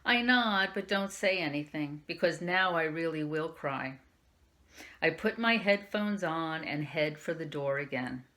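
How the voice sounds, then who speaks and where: A woman's voice: sits low, lively intonation, unhurried, pushed and bright. A woman librarian reading a young adult novel aloud in the first person, lifting her voice for the quoted lines. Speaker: youth services librarian.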